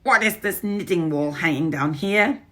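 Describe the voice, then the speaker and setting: Woman reading as a witch queen, imperious, snapping, high, loud. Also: normal speed. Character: fierce commanding female witch leader.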